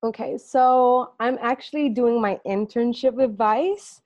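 A young woman from Singapore talks easily as an interview guest, leaning on "like" and letting her sentences fade out softly. Her audio sounds a bit dull and muffled, close and dry with no room around it. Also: fairly high pitch, measured pace, no room sound. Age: young adult. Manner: chatty, heavy use of "like", trails off softly at phrase ends. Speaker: guest, student intern journalist. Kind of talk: casual interview chat.

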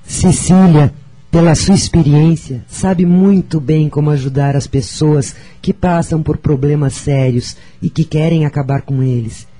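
A motherly woman spirit in a Brazilian radio drama. Her voice is very dark and husky, with soft music underneath. She reminisces with solemn compassion. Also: sits low, measured pace, poor audio. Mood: solemn, compassionate. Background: background music.

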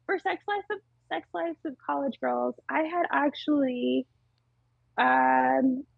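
Tiny-voiced giggly woman, slightly high. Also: takes it slowly, gravelly, no echo, phone-quality sound. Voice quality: small, tiny voice. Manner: giggly, hesitant with many fillers. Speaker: muralist guest. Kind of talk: casual interview chat.

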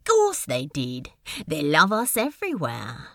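A wry, easygoing man acted by a woman in an audio drama, tender under the teasing. The drawl is extremely unhurried and crackles with fry, swinging lazily in pitch, and it is recorded close and dry. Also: huge pitch swings, dry recording, heavily acted. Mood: smug affection. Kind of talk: amateur audio fiction acting.

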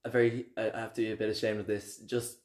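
A man's voice: fairly low pitch, level tone, fast, darkish tone, roomy sound. An Irish man from Dublin chatting casually as a podcast guest, gossipy and agreeable with emphatic, mock-scandalised reactions. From Dublin, Ireland. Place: indoor room, seated on a couch.

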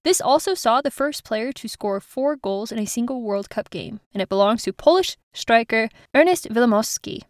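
A woman's voice: on the high side, lively intonation, moderate pace, few pauses, no echo, studio quality. A woman hosting an educational podcast in a casual, chatty way, laughing at her own slips. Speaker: podcast host.

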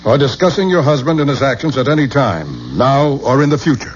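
An actor playing a suspicious, pompous museum official in a 1970s radio drama, speaking formally and with cold indignation. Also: male voice, mid-range voice, big pitch swings, fairly quick, soft background music, close to an everyday voice. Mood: indignant. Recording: muffled, noisy 1970s radio broadcast.

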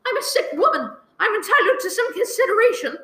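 A woman's voice: very high-pitched, sing-song, fairly quick, a bit bright, heavily acted. A woman playing a panicky, demanding invalid wife in a radio play, stammering and pleading. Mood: desperate, distraught. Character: frantic, demanding, self-pitying invalid wife alone at night. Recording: roomy echoing live recording.

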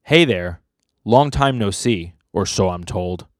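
A man's voice: on the low side, lively intonation, slow, no echo, studio quality. Man playing a smug, taunting manga villain. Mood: breezy, smug. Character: smug, breezy, taunting male cult villain. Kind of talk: manga dialogue read aloud.

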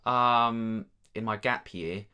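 A young man from Denmark, a native Danish speaker, chatting easily about his studies with many pauses and ums. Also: lowish voice, pushed and bright, clear, resonant tone. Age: young adult. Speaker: drama student guest. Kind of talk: casual chat.

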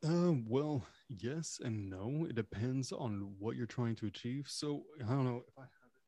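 Host, french-native guy, mid-pitch, dry close dynamic mic, playful and explanatory. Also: animated, moderate pace, dark tone, no room sound. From Canada. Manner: joking, explains gear at length. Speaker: podcast host. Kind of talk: casual interview chat. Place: remote video call. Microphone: dynamic podcast microphone.

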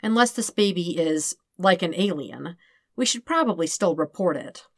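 A woman's voice: fairly low pitch, animated, moderate pace, no room sound, studio quality. A woman voicing a casual, blunt, long-suffering man with a wry, offhand manner in an audiobook story. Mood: resigned. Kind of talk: acted dialogue in fan fiction audiobook.

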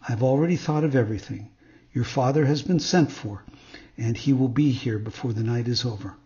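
A man voicing a kind young female fairy in a storybook, gentle and motherly. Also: mid-range voice, fairly quick, dull audio, natural voice. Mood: tender.

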